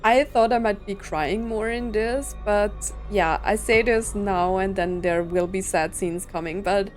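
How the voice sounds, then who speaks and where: A woman's voice: mid-range voice, unhurried, faint music behind. A woman streaming a video game with casual playthrough commentary, sometimes reading on-screen lines aloud.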